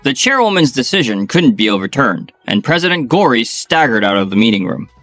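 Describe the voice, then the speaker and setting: Male narrator, scripted manga dub reading, dry and animated. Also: mid-range voice, a little fast, no echo, faint music behind.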